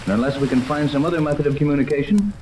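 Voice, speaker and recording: An actor in a 1930s radio serial playing a steady, defiant ship's captain, firm and plain-spoken. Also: male voice, a little high, racing, close to an everyday voice. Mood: grave, steady. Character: steady, defiant male yacht captain, adventurous hero. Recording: roomy, distant, noisy 1930s radio recording.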